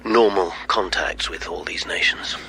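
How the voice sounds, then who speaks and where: An actor playing an anxious, impatient senior police chief in a radio drama, with an urgent, insistent manner. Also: male voice, sits low, a little slow, vocal fry, pushed and bright, slight background noise, heavily acted. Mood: guarded, serious.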